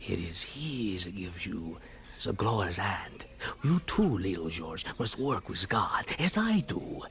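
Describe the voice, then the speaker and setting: An actor playing a kindly, devout old French grape farmer with a French accent, speaking in a warm, coaxing way that often drops to a whisper, in a 1950s radio drama. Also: male voice, low-pitched, sing-song, slow, creaky, heavily acted. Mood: gentle. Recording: hissy old radio recording.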